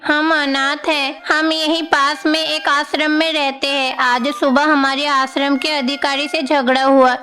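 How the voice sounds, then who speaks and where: Caring young divine boy, played by a woman, high and unhurried, animated bedtime-story dialogue over music. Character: young boy god disguised as orphan, earnest and caring.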